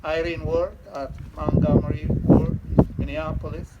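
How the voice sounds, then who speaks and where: Guy reading an obituary real slow, kinda high voice, loud noise behind. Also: little pitch movement, distant mic, lo-fi sound. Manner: slow, halting reading with pauses and fillers. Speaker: family member reading an obituary. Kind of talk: reading aloud.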